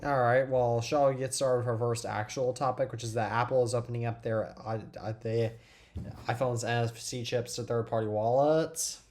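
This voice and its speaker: A man's voice: mid-range voice, fairly even intonation, normal speed, darkish tone. A teenage boy with a cold hosting a casual tech podcast, chatting and often restarting his sentences. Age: teens. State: sick with a cold. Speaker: podcast host.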